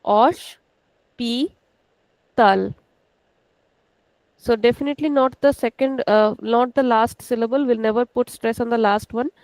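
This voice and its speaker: A woman with an Indian English accent teaching an online language lesson, slowly repeating words to show their stress. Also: on the high side, steady tone, darkish tone, dry recording, low-quality recording. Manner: slow, drawn-out demonstration of pronunciation, repeating words; switches into rapid Hindi asides.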